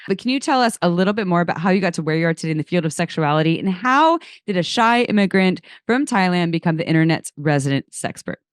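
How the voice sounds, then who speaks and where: A woman's voice: on the low side, lively intonation, fast, hardly any pauses, clean tone, no echo, studio quality. A woman co-hosting a podcast, bawdy and playful in casual chat. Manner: bawdy, playful, laughs often. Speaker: podcast co-host.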